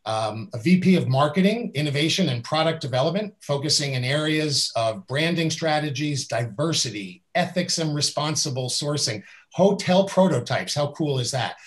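A man's voice: a little high, animated, moderate pace, hardly any pauses. A middle-aged man hosting a video-call interview, reading a guest introduction in an upbeat, admiring way. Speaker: interview host. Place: indoors with a virtual background.

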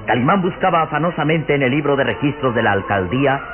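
Man, dramatic narrator, medium pitch, old radio serial, dull lo-fi recording, music behind. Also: lively intonation, quick pace.

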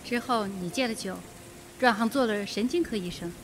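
A woman's voice: medium pitch, normal speed, a little hiss or hum, close to an everyday voice. A woman playing an anxious but strong-willed young lady in a Mandarin radio mystery, tense and pointed.

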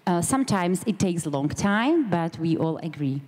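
A woman's voice: lowish voice, very animated, normal speed, darkish tone. A young woman working as an interpreter on a conference stage, speaking into a handheld microphone. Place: on stage in a large conference hall. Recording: reverberant conference hall sound.

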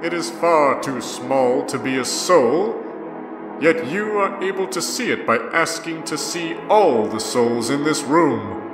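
A man playing a solemn, mysterious narrator, reading scripted game-style narration in a measured way. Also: on the high side, expressive. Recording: echoey reverberant sound, music behind.

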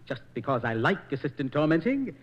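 An actor playing a jealous, defensive comic jailer in a 1940s radio operetta, aggrieved and gloating in manner. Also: male voice, a little high, very animated, fairly quick. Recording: dull 1940s radio transcription disc.

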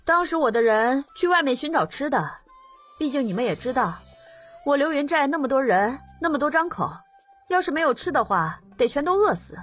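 A woman's voice: high-pitched, sing-song, a bit bright, long reverb tail, soft background music. A woman voicing a bold, forthright bandit leader in an audiobook. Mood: matter-of-fact. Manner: forthright, unhurried. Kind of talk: audiobook character dialogue.

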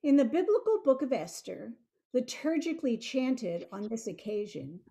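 Older woman, soft mellow tone, animated intonation, scripted lecture. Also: on the high side, moderate pace. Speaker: presenter. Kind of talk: scripted lecture narration, read aloud. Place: indoor room at home, on a video call.

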